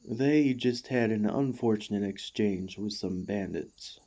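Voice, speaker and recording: Male actor as warforged gladiator, construct with a tender heart, unhurried pace, warm dark tone, courtly and earnest, excitable, ASMR roleplay. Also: lowish voice. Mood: earnest, admiring. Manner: earnest, formal, halting speech. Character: emotional, excitable warforged ex-gladiator, gentle and devoted.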